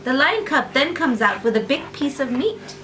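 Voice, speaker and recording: A woman's voice: high voice, big pitch swings, normal speed, pushed and bright, far from the mic, slight background noise. A woman narrating a bedtime story for small children, repeating phrases for effect.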